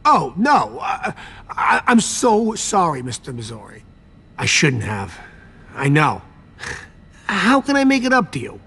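A man's voice: on the high side, wildly swooping pitch, unhurried, pauses often, fairly bright tone, slightly rough, exaggerated voice. An actor voicing a nervous, servile vampire garage attendant in a video game, pleading and evasive in his manner. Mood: scared, pleading. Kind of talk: video game cutscene acting.

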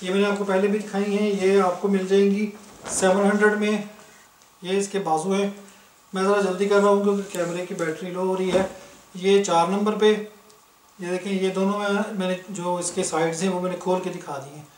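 A man from Pakistan showing clothes for sale, listing prices in a brisk, matter-of-fact way. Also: high-pitched, flat delivery, recorded from a distance. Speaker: online clothing seller. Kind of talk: casual product showcase. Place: indoors, filming fabrics on the floor. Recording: echoey room, somewhat off-mic.

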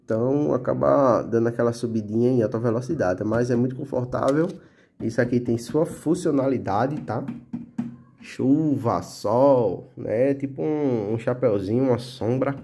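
A man with a Pernambuco Brazilian accent talks in an easygoing show-and-tell way, tagging his sentences with little checks to the viewer. His voice is mellow and dark, of middling pitch. He is filming close on a phone indoors at a table. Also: moderate pace, gravelly, slight background noise. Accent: Pernambuco Portuguese. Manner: relaxed, chatty, full of fillers like "tá?" and "né?". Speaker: motovlog channel host. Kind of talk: casual product show-and-tell.

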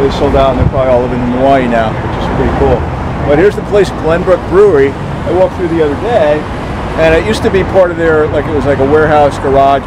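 A man's voice: on the high side, heavy background noise. Chatty older man filming himself. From New Jersey. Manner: chatty, rambling, upbeat. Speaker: vlogger. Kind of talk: casual walking vlog. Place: outdoors on a town street, then inside a large brewery hall. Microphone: handheld camera at arm's length.

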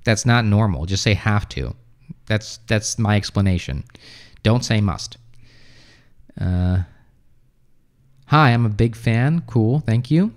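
A man in his thirties who grew up in the United States, hosting a casual English-teaching livestream from a small room at home. He reads viewer comments and answers them offhand, with lots of fillers, into a close boom mic. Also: lively intonation, slow, pauses often. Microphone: studio mic on boom arm close to mouth.

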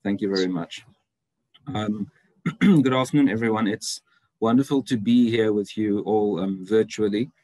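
Man, 30s, native Afrikaans speaker, low voice, dry sound, friendly lecturer on a video call, lots of ums. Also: normal speed, mellow, no echo, poor audio. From South Africa. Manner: friendly, chatty, many ums. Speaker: university lecturer presenting. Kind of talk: online seminar presentation. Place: indoor room, video call.

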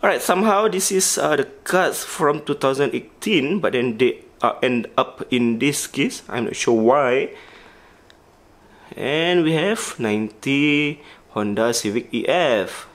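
A man casually walking through his diecast car collection, talking haltingly and listing models one by one. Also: medium pitch, lively intonation, a bit bright. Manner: halting, with restarts and listed names. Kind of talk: casual toy collection walkthrough.

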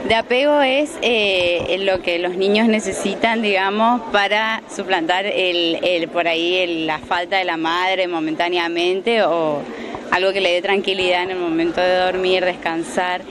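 A young woman, a craft vendor explaining her products warmly with fillers in an interview. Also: lowish voice, steady tone, measured pace. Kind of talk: casual interview. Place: large indoor fair hall. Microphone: handheld mic. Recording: background noise.

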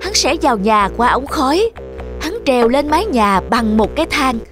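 A woman's voice: sits high, animated, normal speed. A woman narrating a scripted fairy tale for children. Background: music.

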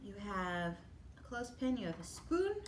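Middle aged librarian lady explaining a kit to kids, normal pitch, echoey room. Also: very animated, normal speed. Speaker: librarian presenting an activity kit. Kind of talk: casual instructional talk to children. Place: children's library room, indoors. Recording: echoey room with faint background noise.

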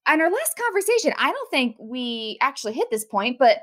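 A woman's voice: high voice, sing-song, brisk, a bit bright. A woman hosting a podcast, chatting enthusiastically with her guest and reacting with exclamations. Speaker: podcast host. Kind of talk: casual interview chat.

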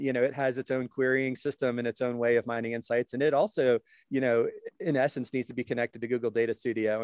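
A man's voice: mid-range voice, darkish tone, no room sound, studio quality. A man explaining points as a panelist in a webinar, hedging with many fillers.

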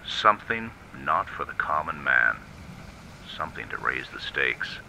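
An actor playing a world-weary, lovesick hard-boiled police detective in a brooding, slangy film noir radio drama style. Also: male voice, rumbling low, very animated, a little slow, gravelly, sharp tone, a little hiss or hum, exaggerated voice, poor audio.

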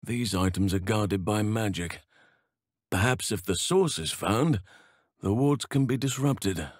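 A stoic, earnest druid from a fantasy video game, a man devoted to nature. His voice sits fairly low and he speaks very slowly, with gentle solemnity and formal, old-fashioned phrasing. The recording is close and dry. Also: lively intonation, no echo. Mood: calm, grave. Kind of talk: video game character voice lines.

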